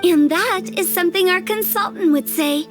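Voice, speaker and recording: A woman's voice: extremely high pitch, normal speed, faint music behind. A woman voicing a playful, cheeky teenage girl with a theatrical, teasing manner in a game dub. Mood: breezy. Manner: theatrical, teasing, self-important. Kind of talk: game cutscene dub acting.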